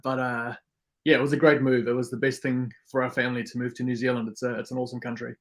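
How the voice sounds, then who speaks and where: A man from South Africa who grew up speaking Afrikaans chats as a podcast guest. His voice sits at an ordinary middle pitch. He talks in a relaxed, friendly way and fills pauses with ums and uhs. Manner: relaxed and friendly, many fillers. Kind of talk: casual interview chat.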